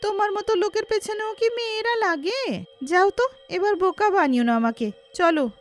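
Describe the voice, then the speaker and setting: A woman voicing a sharp-tongued, mocking village wife in a cartoon story. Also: extremely high pitch, normal speed, soft background music, pitched above the performer's own voice. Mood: teasing. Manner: scolding, mocking.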